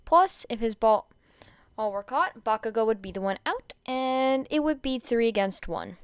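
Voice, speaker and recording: A woman reading fan fiction aloud in an expressive storytelling style, with a clear voice. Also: on the high side, normal speed, dry recording.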